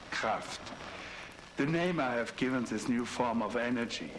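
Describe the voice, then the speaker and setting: Fanatical Nazi scientist, German accent, menacing, grandiose, highly animated intonation, game cutscene, noisy ambience behind. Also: male voice, creaky, heavily acted. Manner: theatrical, grandiose, menacing, deliberate. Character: obsessed, sadistic middle-aged male Nazi mad scientist. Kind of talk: dramatic monologue in a video game cutscene.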